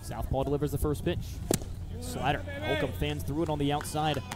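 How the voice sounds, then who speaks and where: A man's voice: a little high, brisk, loud music behind the voice. A man calling live baseball play-by-play for radio, mixing clipped phrases with detailed description.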